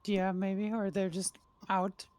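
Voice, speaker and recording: A woman whose first language is Dutch, doing relaxed, jokey esports commentary with trailing fillers. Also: mid-range voice, takes it slowly, no room sound. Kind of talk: casual commentary chat.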